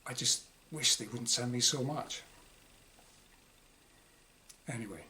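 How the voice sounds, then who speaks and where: A man reads a first-person diary story as its author, with a bright, forward voice. The delivery is wry and exasperated, shaped like a performed monologue. Some background noise sits behind him. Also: mid-range voice, slow. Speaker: author reading own short story.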